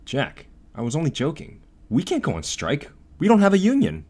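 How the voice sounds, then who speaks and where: A man's voice: fairly high pitch, wildly swooping pitch, moderate pace, a little mellow. Sensible, cautious young newsboy, male actor, earnest and reasoning, swinging intonation, audio drama. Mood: worried.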